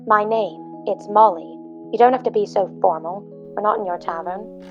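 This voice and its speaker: A woman's voice: mid-range voice, normal speed, lo-fi sound, over music, lightly acted. Woman playing a guarded, blunt female traveller. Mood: blunt, defensive. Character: guarded, self-interested young woman traveller, blunt and dry. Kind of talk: narrative podcast acting.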